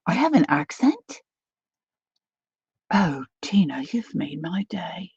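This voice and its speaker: A woman's voice: on the low side, very animated, slow, darkish tone, breathy edge, dry recording. A woman in her sixties with a Philadelphia accent, who hosts a casual craft livestream, chatting in a rambling way while she reads out viewer comments. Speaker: craft channel host. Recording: dull lo-fi livestream audio.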